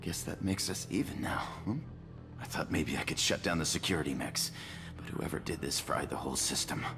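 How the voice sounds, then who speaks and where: An actor playing an irritable, defensive medical technician in a video game, argumentative and self-preserving. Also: male voice, extremely low pitch, brisk, long reverb tail, music in the background. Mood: pained, grudging. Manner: testy, argumentative. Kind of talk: dramatic video game dialogue.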